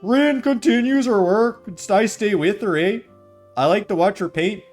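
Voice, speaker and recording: A man putting on an exaggerated Canadian voice to read lines aloud in a slow, sing-song way. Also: sits high, soft background music, pitched above the performer's own voice. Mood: mocking. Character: exaggerated high-pitched Canadian narrator.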